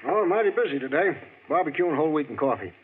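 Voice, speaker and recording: An actor playing a talkative, drawling rural customer in a 1940s radio drama, on an old tinny recording. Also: male voice, on the high side, very animated, fast, slight background noise. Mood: folksy. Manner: rustic drawl, folksy.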